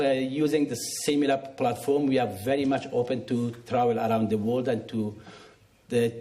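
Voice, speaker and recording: A man's voice: medium pitch, dark tone. A man speaking on stage at a forum, giving formal remarks and answers as a music school director. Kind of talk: public thanks and question answering at a forum. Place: on stage at a live forum event.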